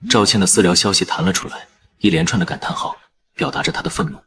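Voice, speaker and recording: A man narrating a first-person story in a calm, even manner. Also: sits low, fast, a crowd in the background.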